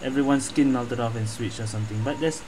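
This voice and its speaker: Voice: medium pitch, quick pace, background noise. A gaming streamer giving casual playthrough commentary, hesitant and thinking aloud.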